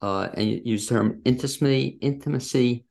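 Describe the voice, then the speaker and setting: A man's voice: medium pitch, moderate pace, creaky, darkish tone. A man hosting a casual interview, asking wordy, rambling questions in a conversational way. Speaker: interviewer, show host.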